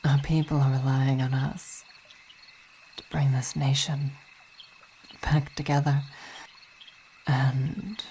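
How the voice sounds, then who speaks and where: A woman as a deep-voiced, weary vampire queen. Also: little pitch movement, a little hiss or hum, exaggerated voice. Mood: worried, earnest. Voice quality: soft, often whispered. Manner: halting, reflective, sighing. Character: weary, devoted female vampire ruler and wife. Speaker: ASMR roleplay performer. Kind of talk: ASMR roleplay.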